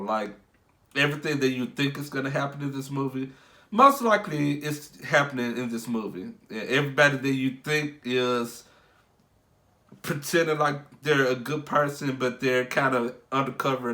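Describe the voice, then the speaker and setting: A man's voice: mid-range voice, bright, forceful tone. Congested young man rambling a movie review. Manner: rambling, many fillers and restarts. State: congested, under the weather. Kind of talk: casual spoken movie review.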